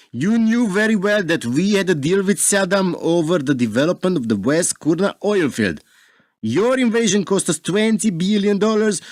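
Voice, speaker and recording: A grave, defiant Russian ambassador played by a man in a radio drama, formal and emphatic. His fairly high voice moves widely in pitch, and the sound is dry and close. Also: dry recording.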